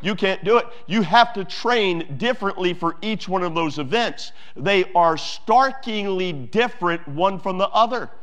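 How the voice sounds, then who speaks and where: A man's voice: high voice, animated, measured pace, few pauses, a bit bright. A pastor preaching a sermon to his church, emphatic, with repeated phrases and many fillers.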